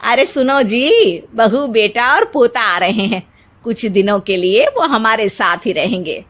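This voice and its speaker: A woman voicing a sharp-witted older wife and grandmother in a family story, scolding in a homely, colloquial way. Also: a little high, very animated, unhurried, full character voice. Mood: reproachful. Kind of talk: dramatized Hindi moral story. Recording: music behind the voice. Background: music.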